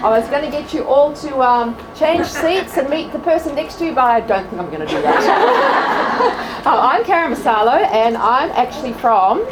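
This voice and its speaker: A woman with an Australian accent presenting a class to an audience at a church event, chatty and quick to laugh at herself. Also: sits high, sing-song. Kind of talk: live talk to a class. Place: large room with an audience. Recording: echoey hall from far off, crowd noise.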